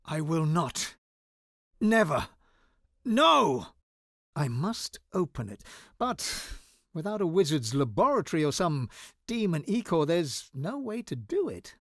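A bookish fantasy game wizard, wry and guarded with an anxious edge. His delivery is articulate and very lively in pitch. The sound is dry and close. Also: a little slow, long pauses, no echo, exaggerated voice. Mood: urgent, desperate. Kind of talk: video game voice lines.

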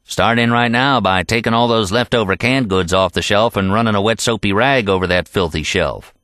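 A man's voice: lowish voice, a little fast, a bit bright, natural voice. Man doing a bossy, needling supervisor.

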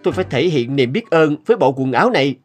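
A man playing a polite, wry gentleman in a cartoon, speaking in a pointed way. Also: fairly high pitch, lively intonation, fast, lightly acted. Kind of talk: cartoon voice acting. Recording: loud music behind.

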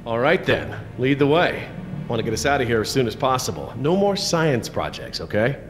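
A man's voice: mid-range voice, big pitch swings, moderate pace, lightly acted. An actor playing a protective middle-aged father in a video game, reassuring and matter-of-fact. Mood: firm, practical. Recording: long hall reverb, faint music.

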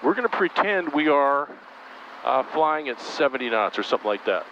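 A man coaching a student pilot in a helicopter cockpit, giving calm, coaxing instructions in short commands over a headset intercom. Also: a little high, brisk. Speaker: flight instructor. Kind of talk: in-flight coaching. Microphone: aviation headset intercom. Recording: muffled intercom audio with engine noise.

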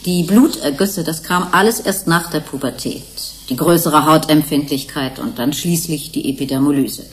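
An actress playing an expert researcher in a German science-fiction radio play, matter-of-fact and explanatory. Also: female voice, low-pitched, normal speed, close to an everyday voice. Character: female researcher, expert and familiar with the creatures. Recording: loud background noise behind dialogue.

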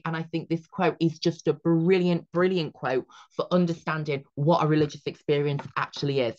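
A young man with a hoarse, strained voice, talking through an exam revision lesson in an upbeat, encouraging way. He speaks from a webcam at his desk in a room lined with books. The sound is dull and lo-fi. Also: on the high side. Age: young adult. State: hoarse, recovering from losing his voice. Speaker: revision tutor.

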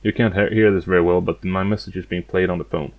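A man with a fairly low voice walks through a demonstration step by step. He keeps it plain and matter-of-fact, explaining like a hobbyist. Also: steady tone, quick pace, narrow, tinny audio. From outside the United States. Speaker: hobbyist demonstrating a vintage gadget.

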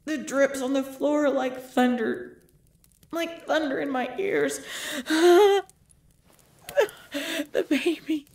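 A woman playing a proud, pregnant vampire leader in pain, speaking formally and dramatically in an ASMR roleplay with breathy drops toward a whisper. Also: sits high, a little mellow. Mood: pained, desperate. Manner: slow, formal, dramatic, often dropping to whispers.

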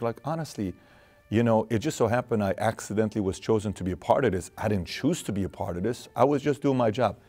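A man in his thirties from Iran, hosting a studio interview, talking earnestly with lots of fillers and gestures. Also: on the low side. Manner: animated, earnest, with many fillers and hand gestures. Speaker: host. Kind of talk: interview and show intro. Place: studio set with wood-panel wall.

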